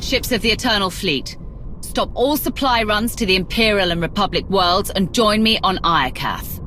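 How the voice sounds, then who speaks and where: A woman's voice: mid-range voice, moderate pace, background noise, natural voice. An actress playing an authoritative, terse woman who commands a galactic alliance in a video game. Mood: resolute. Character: commanding female Sith leader of a galactic alliance. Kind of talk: video game cutscene acting. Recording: loud music under dialogue.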